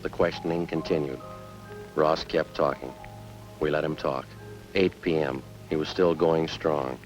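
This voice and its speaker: A man playing a terse, unflappable police sergeant in a radio crime drama, speaking in a clipped, deadpan, matter-of-fact way. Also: very deep voice, measured pace, lo-fi sound, music in the background. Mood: flat, procedural. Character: terse, unflappable male police sergeant narrating his case.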